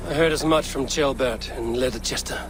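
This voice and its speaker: An actor playing a stern, commanding Viking warrior who speaks tersely, in a video game cutscene. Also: male voice, medium pitch, normal speed, close to an everyday voice, rough recording. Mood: calm. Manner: terse, authoritative. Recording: music under dialogue.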